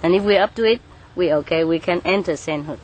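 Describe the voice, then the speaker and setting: Low-pitched woman, earnest teacher. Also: a little hiss or hum, low-quality recording. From Vietnam. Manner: earnest, unhurried, frequent soft laughs and "uh" pauses. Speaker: spiritual teacher. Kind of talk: interview answers.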